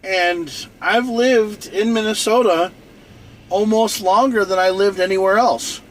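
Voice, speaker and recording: High-voiced man rambling over traffic noise. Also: a little slow. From North Dakota. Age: 40s. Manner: repetitive, restarts and repeats phrases. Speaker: livestream host. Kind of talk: rambling casual monologue. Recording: noisy outdoor traffic behind. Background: car and street noise.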